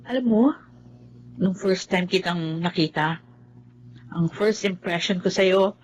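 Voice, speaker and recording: An actress playing a frank, kind young friend who keeps the peace, speaking earnestly with a Filipino accent in a TV drama. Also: female voice, lowish voice, slow pace, poor audio. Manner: earnest, gently admonishing.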